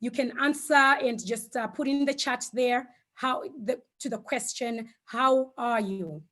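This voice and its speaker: A woman whose first language is Shona, giving an online talk over a video call, with frequent fillers and gentle hesitations. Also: on the high side, unhurried. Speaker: guest speaker.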